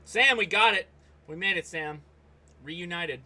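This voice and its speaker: A man hosting a hobby livestream, chatting casually and reacting aloud in an excitable way, often addressing people by name. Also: sits high, measured pace. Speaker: host of a ham radio livestream. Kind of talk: casual livestream chat and running commentary while operating radio.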